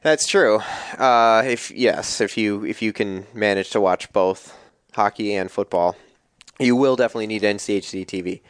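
A man's voice: lowish voice, normal speed, smooth, dry recording, studio quality. A man co-hosting a casual sports podcast, rambling and joking with plenty of restarts. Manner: rambling, jokey, lots of restarts. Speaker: podcast co-host.

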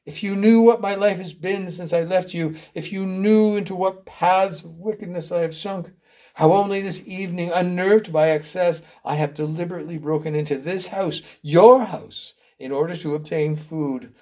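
Man acting a wretched, melodramatic fallen gentleman. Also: high voice, steady tone, normal speed, natural voice. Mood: anguished remorse. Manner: theatrical, anguished declaiming.

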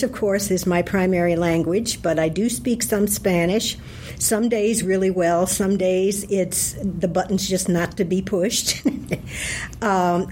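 A woman's voice: fairly low pitch, darkish tone, a little hiss or hum. A woman who manages a branch library, speaking in a chatty, wry way in a panel discussion.

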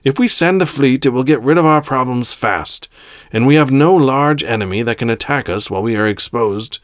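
A man's voice: mid-range voice, normal speed, no echo, clean studio sound, voice pushed up, natural voice. A man voicing a domineering, impatient female Grand Master of a space order in a story, barking commands. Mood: firm, decisive. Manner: commanding, shouting.

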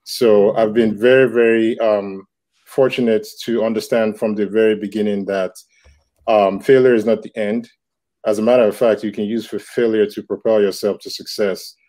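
A man's voice: lowish voice, little pitch movement, moderate pace, warm tone. A man in his thirties from Nigeria, a comic book creator answering interview questions in a reflective way, with many fillers and restarts. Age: 30s. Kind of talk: casual interview talk.

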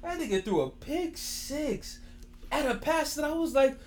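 A man's voice: very high voice, lively intonation, unhurried. A man co-hosting a sports podcast, hyped and trash-talking with plenty of cursing. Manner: hyped, profane trash talk. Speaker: podcast co-host. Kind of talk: casual sports podcast banter.

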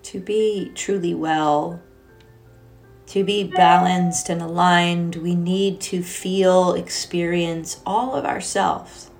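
A woman guides a meditation in a fairly low voice that often crackles into fry. Her delivery is gentle and soothing, leaving generous pauses between phrases. Quiet music plays behind her. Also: fairly even intonation. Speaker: meditation and yoga teacher.

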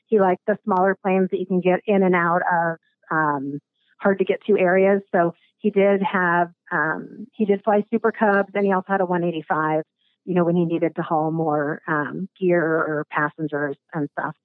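A raspy-voiced woman from Alaska talks casually as a podcast guest, pausing on ums and circling back on her words. Her voice comes through a thin, phone-like line with no room sound around it. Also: lowish voice, steady tone, no echo. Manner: halting, many ums and restarts. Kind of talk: casual interview.

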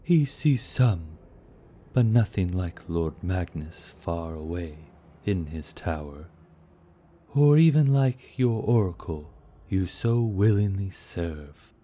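A man voicing a serene, soft-spoken male lord who talks in a calm, measured, reassuring way. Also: deep voice, heavily acted. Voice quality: soft-voiced.

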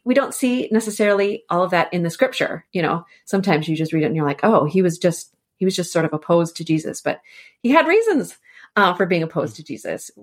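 A woman speaking as an expert guest in a conversational podcast interview, explaining things informally with animated asides. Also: lowish voice. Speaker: expert guest, annotated edition editor.

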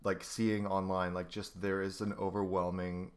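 Low flat-voiced guy, casual co-host, says like a lot. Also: moderate pace. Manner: casual, lots of "like" fillers. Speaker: co-host interviewer. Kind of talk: casual interview chat.

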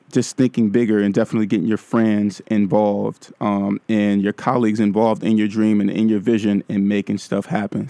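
Gravelly-voiced upbeat male interview host. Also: lowish voice, warm tone, no room sound. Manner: upbeat, laughs often, many fillers. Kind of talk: casual interview. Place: studio.

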